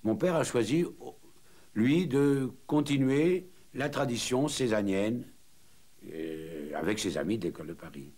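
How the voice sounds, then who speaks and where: A man's voice: medium pitch, lively intonation, a little slow, a little mellow. A mature man explaining in a documentary interview, didactic, listing points and letting his phrases drop at the end. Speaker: painter's son interviewed in a documentary.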